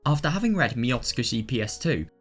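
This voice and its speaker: A man's voice: mid-range voice, big pitch swings, fast, a bit bright. A man presenting a video guide in a scripted, methodical, instructional way. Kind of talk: scripted explanatory narration. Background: background music.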